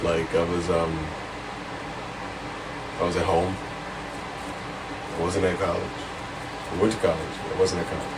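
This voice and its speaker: A man's voice: low voice, flat delivery, moderate pace, pauses often, recorded from a distance, heavy background noise. A man in his late twenties co-hosting a casual podcast, drawling and laid-back. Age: 20s. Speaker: podcast co-host. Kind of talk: casual chat.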